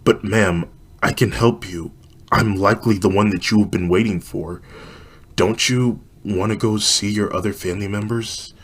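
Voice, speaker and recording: A man voicing an earnest, kind teenage boy who heals people, speaking gently and politely with small stammers. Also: lowish voice, fairly even intonation, normal speed, husky. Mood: gentle.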